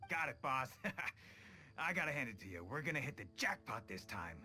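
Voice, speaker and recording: A man's voice: mid-range voice, big pitch swings, moderate pace, bright, forceful tone. A man playing an eager, jittery, fawning bandit henchman in a video game, with slangy hesitant speech. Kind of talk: animated video game acting.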